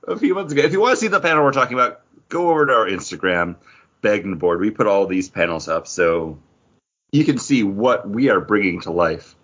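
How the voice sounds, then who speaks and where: A man's voice: on the low side, fairly quick, a bit bright, breathy edge, muffled recording. A man chatting casually as a playful, joking co-host of a pop culture podcast. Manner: playful, jokey.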